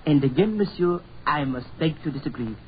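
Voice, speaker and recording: A man plays a forceful French financier in an old radio play, with a rather high voice and French accent. He is florid, courtly and needling. The recording is dull and lightly noisy. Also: lively intonation, fairly quick, natural voice. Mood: sardonic, argumentative. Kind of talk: 1940s radio acting.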